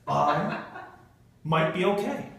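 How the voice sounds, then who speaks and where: A man giving a humorous storytelling speech to an audience in a theatrical manner, with dramatic pauses and stretched emphasis. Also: sits high, lively intonation, creaky, a bit bright, slight background noise. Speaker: Toastmasters club speaker telling a humorous tale. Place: indoor meeting room with an audience. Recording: echoey room, recorded from far off.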